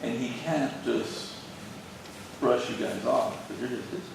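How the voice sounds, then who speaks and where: A man's voice: mid-range voice, a little slow, gravelly. A man in the audience giving informal feedback and suggestions. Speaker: audience member. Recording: echoey hall, distant mic, noisy.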